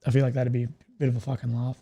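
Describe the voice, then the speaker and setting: A young man with a strained, slightly croaky voice, rambling and swearing as he chats with viewers on a live stream. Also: mid-range voice, completely flat delivery, racing, warm tone, no room sound. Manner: rambling, swears often, laughs at own jokes. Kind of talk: casual live stream chat.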